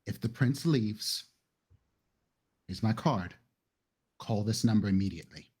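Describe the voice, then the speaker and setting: Husky man playing a tabletop game. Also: fairly low pitch, unhurried, a little mellow. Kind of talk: casual improvised roleplay.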